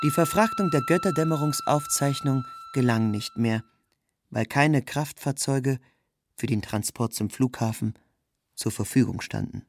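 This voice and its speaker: A man's voice: mid-range voice, wildly swooping pitch, measured pace, noisy background. A man reads scripted literary narration in with a sober, documentary delivery. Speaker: narrator, reader of a literary text.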